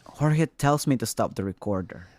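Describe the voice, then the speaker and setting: A man's voice: medium pitch, expressive, moderate pace, darkish tone, no echo, clean studio sound. A man giving scripted first-person narration as a podcast reporter. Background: music.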